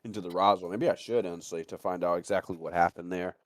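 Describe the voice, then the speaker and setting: A man's voice: low-pitched, fairly even intonation, fast talker, no echo, studio quality. A man chatting casually, blunt and sweary, often repeating himself as he makes his point. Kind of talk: casual chat.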